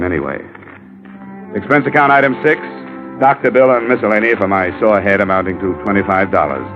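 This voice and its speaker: An actor playing a wry, confident American insurance investigator in old-time radio style, clipped and matter-of-fact. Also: male voice, lowish voice, close to an everyday voice. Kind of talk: 1940s-50s radio detective acting and first-person narration. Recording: muffled vintage radio recording with music.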